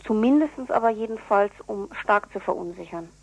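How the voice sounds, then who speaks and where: A woman being interviewed on radio, explaining things in an earnest, deliberate way. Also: mid-range voice, creaky, slight background noise, low-quality recording. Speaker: interviewee, activist for prisoners' relatives newsletter.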